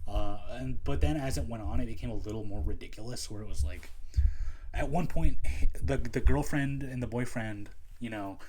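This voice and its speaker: A man's voice: mid-range voice, normal speed, mellow, slightly rough, poor audio. Rambling, swearing young guy. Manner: rambling, profane, self-mocking. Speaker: podcast host reviewing anime. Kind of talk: casual solo chat.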